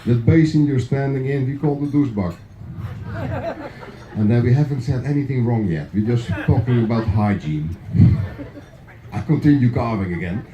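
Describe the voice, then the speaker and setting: A man's voice: medium pitch, moderate pace, long pauses, echoey room, highs cut off, crowd noise. A middle-aged man from the Netherlands with a Dutch accent, a clog maker joking and chuckling his way through a live craft demonstration in an indoor workshop. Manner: jokey, chuckling between remarks.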